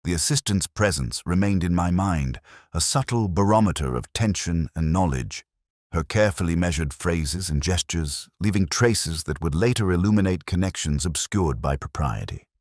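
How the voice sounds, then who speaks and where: Male detective narrator for scripted mystery drama, acted by a man: extremely low register, expressive intonation, formal and observant. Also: no room sound, studio quality. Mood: measured, contemplative. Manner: measured, formal, literary delivery. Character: observant, reflective English male police detective, quietly reserved.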